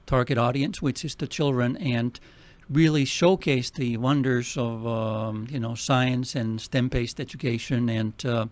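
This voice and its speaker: Finnish-accented male guest, hesitant and sincere, middle pitch. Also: fairly even intonation, dry recording. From Finland. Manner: halting, many fillers, earnest. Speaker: nonprofit president, radio guest. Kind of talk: radio interview.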